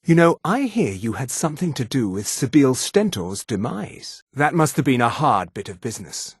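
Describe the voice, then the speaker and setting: An actor voicing a haughty, sneering high elf official in a fantasy video game, speaking in a pompous, condescending, precise manner. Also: male voice, very animated, normal speed, breathy edge, dry recording, studio quality. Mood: sly, aloof. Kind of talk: scripted fantasy game dialogue.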